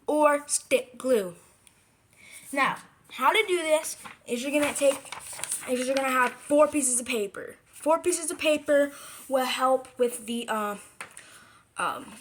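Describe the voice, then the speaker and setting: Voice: high-pitched, a bit bright. A video creator giving a casual how-to craft tutorial, rambling, correcting themselves and repeating points for emphasis. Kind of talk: casual DIY how-to vlog talk.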